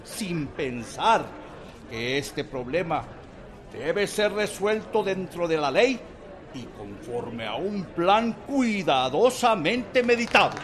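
An actor playing a formal, stern interim president giving a solemn, emphatic, measured political speech in a radio drama. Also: male voice, sits high, very animated, a crowd in the background, heavily acted. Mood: grave. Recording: long echoing hall reverb.

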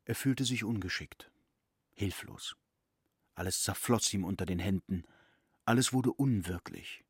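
A man's voice: fairly low pitch, measured pace, breathy edge, dry recording, clean studio sound. A man narrating a literary audio drama from a script. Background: music.

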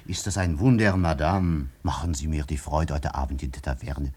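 An actor playing a suave, flirtatious French commission agent with a French accent and broken German, gallant and teasing, in a 1950s German radio drama. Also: male voice, rumbling low, moderate pace, darkish tone, husky. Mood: wooing.

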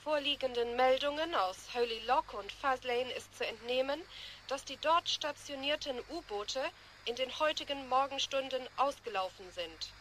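A woman's voice: sits high, normal speed, a bit bright, slight background noise, rough recording. A woman playing a sober radio newsreader, giving a formal, factual bulletin in a radio play.

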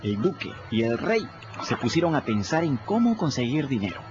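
An actor playing a lively runaway boy who tells his river adventures colloquially in a radio drama. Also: medium pitch, normal speed, highs cut off, natural voice. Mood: storytelling. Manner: colloquial, animated storytelling. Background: music.

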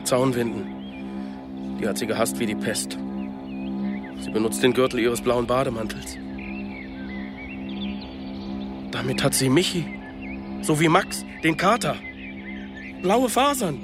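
A man's voice: medium pitch, lively intonation, measured pace, long pauses, echoey room, over music, close to an everyday voice. An actor playing a distraught, defiant middle-aged father in a radio crime drama, with an agitated, bitter way of talking. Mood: shaken.

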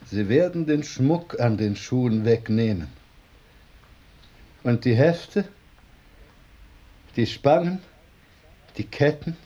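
A drunken eccentric vagrant holds forth like a prophet of doom in a radio play. The voice is high and very deliberate, an incantation that can swell toward shouting. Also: male voice, lo-fi sound, full character voice. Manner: declamatory, incantatory, building to shouts.

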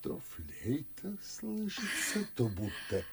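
Gravelly, swooping older gentleman. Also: mid-range voice, huge pitch swings, takes it slowly, background noise, exaggerated voice. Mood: suspicious, playful. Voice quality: gravelly, creaky. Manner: theatrical, swooping, insinuating drawl. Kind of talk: classic verse comedy radio play acting.

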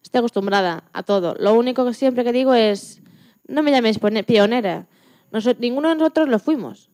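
A woman from playing a lively, joking retired Antarctic scientist in her eighties in a radio interview, often restarting her sentences. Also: a little high, close to an everyday voice. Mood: matter-of-fact. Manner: chatty, joking, halting with restarts. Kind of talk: scripted mock radio interview.

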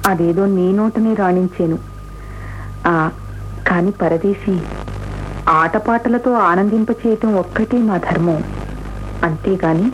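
An actress playing a coy, witty, proud young court dancer in a radio play, with playful, teasing theatrical delivery. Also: female voice, mid-range voice, natural voice. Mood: coy, dignified. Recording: muffled, phone-like old radio recording.

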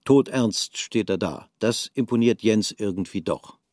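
Low-voiced man narrating a radio drama. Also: darkish tone, dry recording, studio quality. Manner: measured storytelling.

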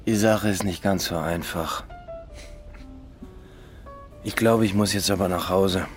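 A man's voice: sits low, steady tone, a little slow, faint music behind. An actor playing a troubled, sardonic man in a radio drama, speaking in terse, dry sentences. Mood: wry, subdued. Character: troubled, sardonic man confiding in a drinking companion. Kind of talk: naturalistic radio drama dialogue.

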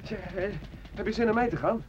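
An actor playing a rough, talkative soldier turned drifter, world-weary and colloquial, in a Dutch radio play. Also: male voice, sits high, a little fast, natural voice. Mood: urgent, conspiring. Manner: colloquial, hesitant, chatty storytelling. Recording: dull, noisy old radio recording.

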